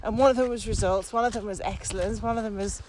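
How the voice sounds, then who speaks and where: A woman's voice: medium pitch, fairly even intonation, fast talker, crowd noise. A middle-aged woman co-hosting a podcast, chatting enthusiastically and laughing easily while walking outdoors in a field. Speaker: podcast co-host. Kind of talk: casual chat while walking. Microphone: camera far behind, walking away. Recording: loud outdoor background noise.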